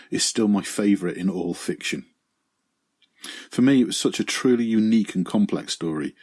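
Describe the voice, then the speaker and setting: A man's voice: fairly low pitch, darkish tone, slightly rough. A middle-aged man delivering a scripted review segment on a podcast in an earnest, reflective way.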